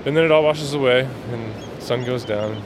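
A man's voice: medium pitch, brisk, noisy background. A man chatting casually in an interview recorded outdoors by the sea.

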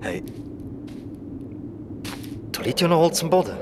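A man's voice: on the high side, very animated, unhurried, background noise. An actor playing a disoriented young man with a Swiss accent who can turn menacing, in a naturalistic audio drama. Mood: uneasy.

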